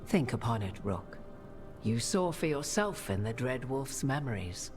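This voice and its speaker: A woman playing an imperious, enigmatic sorceress in a fantasy game, with archaic, measured and knowing speech. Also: a bass voice, sing-song, music in the background, full character voice. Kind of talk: dramatic fantasy game dialogue.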